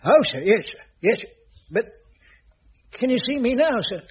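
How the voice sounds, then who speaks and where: An actor playing an indignant, evasive older caretaker with a colloquial, protesting manner in a radio sitcom. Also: male voice, very high voice, sing-song, normal speed, slightly rough, exaggerated voice. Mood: flustered, deferential. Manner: colloquial, protesting, comically malapropping. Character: jobsworth, put-upon old caretaker, indignant and evasive. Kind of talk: 1980s radio sitcom acting. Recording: dull, noisy old radio recording with clicks.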